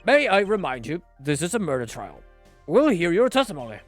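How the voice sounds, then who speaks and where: A stern older judge calls the court to order in a loud, commanding voice, read by a man. The voice sits somewhat high, with quiet game music in the background. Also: big pitch swings, fairly quick.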